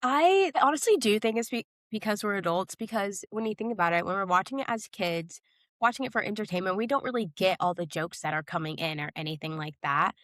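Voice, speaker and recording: Young woman, mid-pitched voice, dry podcast chat. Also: expressive, fairly quick, fairly bright tone, dry recording. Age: young adult. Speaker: podcast co-host. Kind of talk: casual pop culture chat.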